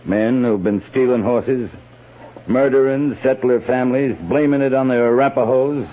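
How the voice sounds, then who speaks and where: An actor playing a terse, commanding US cavalry captain in a 1950s radio western, speaking in clipped, authoritative phrases. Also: male voice, mid-range voice, steady tone, moderate pace, natural voice. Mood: grave, apologetic. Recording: muffled, hissy old-time radio recording.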